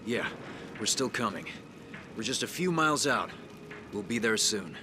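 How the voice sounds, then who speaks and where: Actor as weary, determined resistance soldier. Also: male voice, on the low side, animated, moderate pace, music in the background, natural voice. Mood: somber. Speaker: resistance fighter. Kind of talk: video game voice acting.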